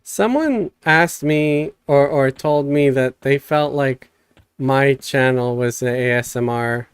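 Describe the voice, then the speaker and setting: A man hosting a live stream, chatting casually in a soft, calm way. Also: mid-range voice, takes it slowly, clean tone, no echo. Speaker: streamer host. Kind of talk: casual chat.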